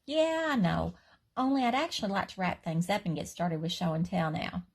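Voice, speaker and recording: A woman voicing an excitable, loud little first-grade girl who blurts things out in a rush. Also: on the low side, moderate pace. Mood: excited. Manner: blurting, bouncy, talks in a rush.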